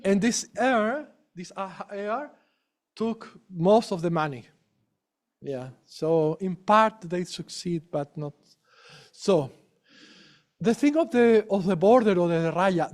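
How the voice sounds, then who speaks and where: A man presents research at an academic conference. He speaks haltingly, restarting his phrases and tagging sentences with little questions to the room. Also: high-pitched. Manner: hesitant, many restarts and tag questions. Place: lecture room.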